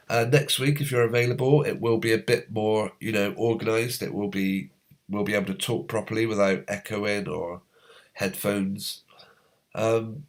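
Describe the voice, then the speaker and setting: A man hosting a live stream, reading out viewers' comments in a halting, rambling way, his voice going hoarse as a cold comes on. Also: fairly low pitch. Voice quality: slightly hoarse. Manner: rambling, halting, many fillers.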